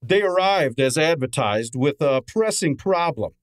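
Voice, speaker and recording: An actor playing a cheeky, exasperated detective's assistant in a radio drama, insistent and wisecracking. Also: male voice, a little high, lively intonation, unhurried, clean tone, no echo. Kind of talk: old-time radio drama acting.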